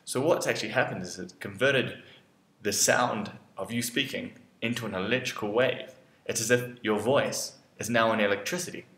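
A man in his thirties presenting an explainer, talking deliberately step by step with pauses. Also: fairly low pitch, a bit bright. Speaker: educational video presenter. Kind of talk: explainer narration to camera. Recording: roomy indoor echo.

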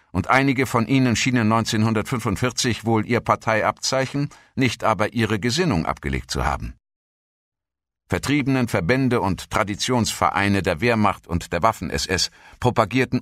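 Deep-voiced man narrating history. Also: a bit bright, no echo, clean studio sound. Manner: measured, formal read delivery. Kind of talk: scripted documentary history narration, audiobook-like.

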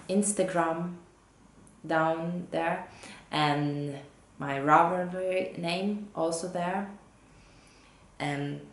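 A woman in her thirties with English as a second language, hosting a knitting podcast at home and sometimes halting to find her words. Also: lowish voice. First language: not English, not Danish. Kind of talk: casual chat to camera. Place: living room at home, indoors. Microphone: camera or laptop mic at desk distance. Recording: roomy home echo.

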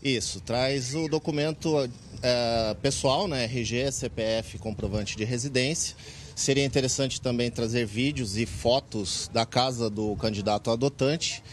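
A man's voice: medium pitch, measured pace. Brazilian man, 40s, earnest explainer, outdoor interview with light ambient noise. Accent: Brazilian Portuguese. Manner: earnest, explanatory, many fillers. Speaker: interviewee, club president. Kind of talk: live TV interview. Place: outdoors in a park under a tent. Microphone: reporter's handheld mic.

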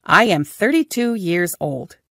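A woman with a low voice repeats short practice phrases, each one slowly and carefully. Her pitch rises and falls a lot. The recording is dry and close. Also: very animated, creaky, bright, forceful tone, dry recording. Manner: slow, careful phrase repetition. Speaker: English teacher. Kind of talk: repeating phrases for language learners.

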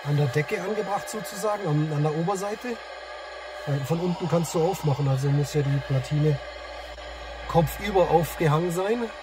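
Southwest man, noisy car recording. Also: a little high. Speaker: amateur CB radio operator and vlogger. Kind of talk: casual chat and commentary while testing a radio. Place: inside a parked car in a forest. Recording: clearly noisy, radio static in car. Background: loud noise, radio hiss.

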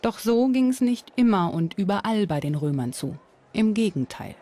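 A woman's voice: medium pitch, lively intonation, normal speed, warm tone. A woman presenting and narrating a documentary in scripted sentences.

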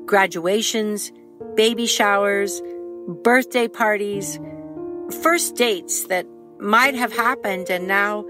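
A woman reading a scripted introduction to an audio drama as its writer. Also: mid-range voice.

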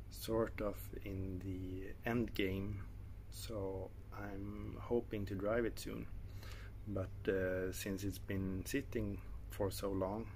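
A man in his thirties with a Swedish accent, talking casually to a phone camera in a garage, with many pauses and fillers. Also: on the low side, a little mellow, slight background noise. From Sweden. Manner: halting, many fillers and self-corrections. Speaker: car hobbyist vlogger. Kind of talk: casual selfie vlog. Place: indoor garage. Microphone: phone held at arm's length.

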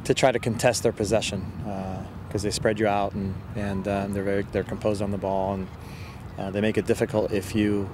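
A middle-aged man speaks as a coach in a post-match interview. His voice is low and flat, and he feels his way through sentences in a measured, halting manner. Clear outdoor noise sits behind him. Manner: halting, measured delivery with repeated words. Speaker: soccer head coach being interviewed. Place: outdoors on a soccer field. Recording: outdoor field recording with loud ambient noise.

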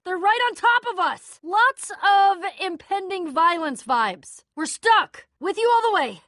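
A woman playing a feisty, sardonic young warrior in a video game, with a wry, expressive delivery. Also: high-pitched, dry recording, studio quality, heavily acted. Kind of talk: animated game voice acting.

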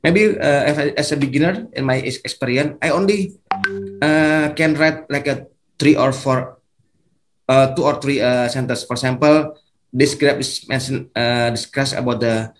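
Brief: man, Indonesian accent, mid-pitched, guest lecturer on a video call, halting delivery with many restarts. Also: slight background noise, low-quality recording. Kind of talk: online academic lecture.

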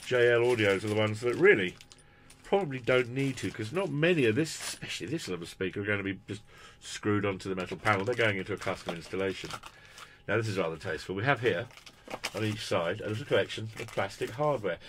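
A man's voice: on the low side, lively intonation, fast talker, background noise. A British man in his fifties presenting an unscripted product review in a casual, chatty, rambling, self-deprecating way. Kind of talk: casual unscripted unboxing commentary. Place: small cluttered room indoors.

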